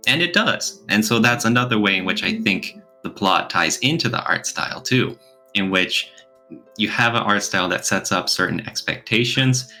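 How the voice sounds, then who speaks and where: A man's voice: deep voice, sharp tone. A man co-hosting a podcast, talking casually in a thoughtful, explanatory way. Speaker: podcast co-host. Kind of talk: casual podcast discussion, reviewing.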